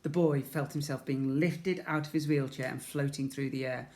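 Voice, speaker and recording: A man's voice: mid-range voice, fairly even intonation, normal speed, a little mellow. A man reading a storybook aloud expressively to young children, as a schoolteacher would.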